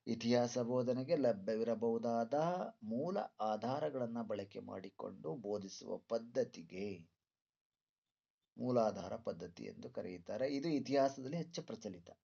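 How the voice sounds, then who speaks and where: A man's voice: medium pitch, a little slow, gravelly, dark tone, breathy edge. A male teacher lecturing students through exam questions, didactic, repeating points and posing rhetorical questions. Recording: dull lo-fi sound.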